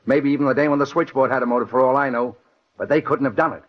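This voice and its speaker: An actor playing a hard-boiled, bullying police captain in an old radio drama, blunt, slangy and sarcastic. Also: male voice, medium pitch, steady tone, fast, natural voice. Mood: impatient. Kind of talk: 1940s radio acting. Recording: muffled old radio recording.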